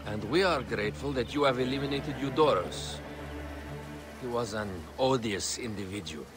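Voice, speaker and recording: An actor playing a composed, courtly royal adviser in an ancient Egyptian video game drama, speaking formally and deliberately. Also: male voice, mid-range voice, music in the background, lightly acted. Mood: grave, formal.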